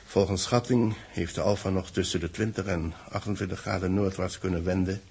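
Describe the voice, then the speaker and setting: Grave space official in an old 60s radio drama, very husky and creaky, solemn rhetoric. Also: male voice, sits low, steady tone, a little fast, darkish tone, heavily acted. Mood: grave, resigned. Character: grave space-mission official dictating a final communiqué. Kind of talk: 1960s Dutch radio play acting.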